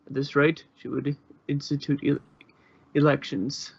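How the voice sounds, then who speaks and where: A man narrates a fanfiction story in an informal reading style. His voice sits on the high side for a man. The recording is slightly dull and lo-fi. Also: flat delivery, normal speed, gravelly, husky, dry recording.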